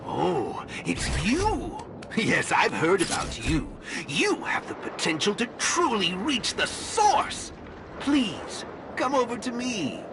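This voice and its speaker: A man playing a serene, cryptic cult guru in a video game, courteous and smug, preaching in a measured, theatrical way. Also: high voice, wildly swooping pitch, background noise, exaggerated voice. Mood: excited, welcoming. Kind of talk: video game character acting. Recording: game music behind.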